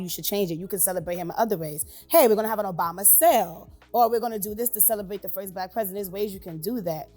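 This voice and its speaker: A woman panelist rattles off her points at a fast clip. She speaks with firm, opinionated emphasis. Faint music hums in the background. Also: medium pitch, a little mellow. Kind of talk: casual discussion.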